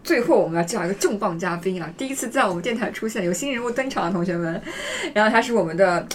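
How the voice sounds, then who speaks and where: A woman's voice: mid-range voice, expressive, fast. A woman hosting a casual podcast, introducing things in a conversational way. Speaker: podcast host.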